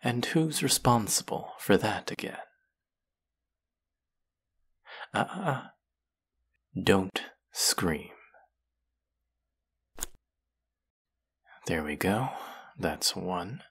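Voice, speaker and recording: A low, unhurried villain voice, acted by a man for a fantasy audio roleplay. He is menacing and mocking, a vengeful genius who picks his words deliberately and speaks with intimate, sardonic threat. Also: sing-song, a bit bright, slightly rough, heavily acted. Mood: sadistic, taunting. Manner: slow, deliberate, sardonic, dropping into whispers.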